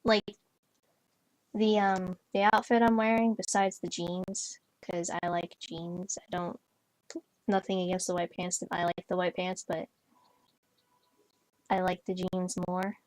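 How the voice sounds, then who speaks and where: A woman's voice: fairly low pitch, level tone, unhurried, long pauses, darkish tone, dry recording, rough recording. A young woman chatting casually while playing a horse game, rambling with lots of fillers and restarts. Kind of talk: casual gameplay chat. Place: bedroom, on a bed.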